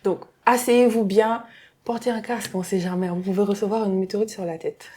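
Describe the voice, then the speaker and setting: A woman's voice: medium pitch, fairly even intonation, fairly quick. A woman hosting a studio interview, chatty and playful, opening with a teasing intro before asking questions. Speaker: interview host. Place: studio set.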